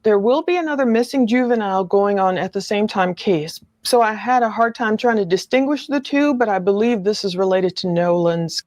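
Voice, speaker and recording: A woman's voice: medium pitch, expressive, brisk, few pauses, a little mellow, no room sound. Woman true-crime commentator, dry close sound. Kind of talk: casual analytical commentary.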